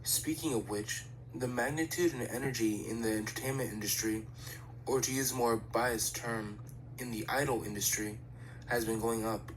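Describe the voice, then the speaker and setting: A man's voice: mid-range voice, moderate pace, a little hiss or hum, natural voice, poor audio. Man voicing a serious, pedantic schoolboy idol. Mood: explanatory. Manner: formal, pedantic, matter-of-fact. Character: serious, studious, fussy teenage boy idol. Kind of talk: amateur anime fandub acting.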